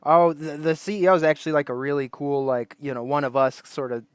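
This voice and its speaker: Chatty man with a fairly high voice. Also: steady tone, fast, smooth, no echo. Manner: rambling storyteller, laughs often, acts out quotes. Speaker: podcast co-host. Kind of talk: casual podcast chat.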